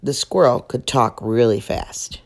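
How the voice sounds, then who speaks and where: A woman's voice: extremely low pitch, unhurried. A woman storyteller reading a children's picture book aloud.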